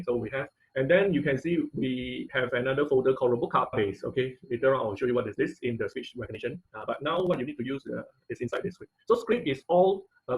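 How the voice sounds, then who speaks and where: A man giving an informal live technical tutorial as an online class instructor, halting and repeating words, often checking in with "okay" and "right". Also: medium pitch, a bit bright, rough recording. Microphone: online video call.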